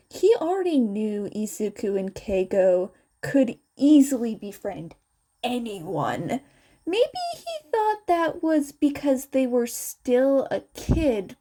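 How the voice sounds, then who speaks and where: A woman's voice: fairly high pitch, warm tone. A woman narrating a scripted fan fiction story as a reader.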